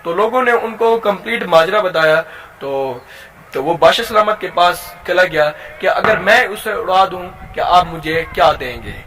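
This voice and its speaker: A man with a Pakistani accent telling a moral tale informally, as a channel host, haltingly and with repeated phrases. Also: high-pitched, lively intonation, fairly bright tone, low-quality recording. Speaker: YouTube storyteller and channel host.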